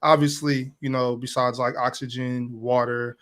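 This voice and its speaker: A man hosting a casual interview, talking in a relaxed, slangy way and often repeating words while he searches for them. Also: mid-range voice, normal speed. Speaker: interviewer and show host.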